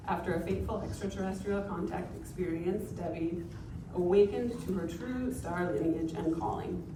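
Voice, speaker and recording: A woman with a lowish voice moderates a panel, reading the introductions with frequent fillers. She sounds far from the mic. An echoing, noisy expo hall surrounds her. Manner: reads bios with fillers, light laughs.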